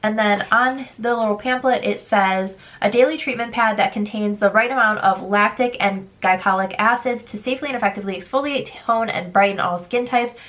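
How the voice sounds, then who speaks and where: A woman's voice: medium pitch, fairly quick, few pauses, fairly bright tone. Congested young woman vlogging a try-on. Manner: chatty, candid, reads product blurbs aloud. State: congested, sick. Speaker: beauty vlogger. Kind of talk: casual product try-on chat.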